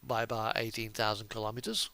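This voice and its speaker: A man's voice: fairly low pitch, little pitch movement, fast, creaky, sharp tone. A man giving casual, chatty game commentary as a streamer, hesitant and often trailing off mid-thought. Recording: music playing behind the voice. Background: music, truck engine sounds from game.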